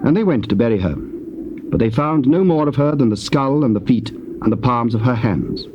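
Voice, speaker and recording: A man's voice: medium pitch, animated, moderate pace, muffled recording. British male scripture narrator, noisy tape. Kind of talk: dramatised scripture narration, solemn storytelling. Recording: noisy old cassette tape recording.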